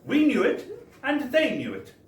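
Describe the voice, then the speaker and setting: Scathing French female ticket clerk played by a man, comic story, high and mock-sweet, pointed sarcasm, distant roomy live recording. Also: wildly swooping pitch, unhurried, slight background noise, full character voice. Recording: echoey live bar recording from far off.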